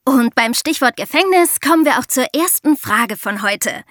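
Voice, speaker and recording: A woman's voice: high-pitched, animated, moderate pace, a bit bright, dry recording, studio quality. A woman co-hosting a true-crime podcast, moving between narration and discussion. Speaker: podcast co-host.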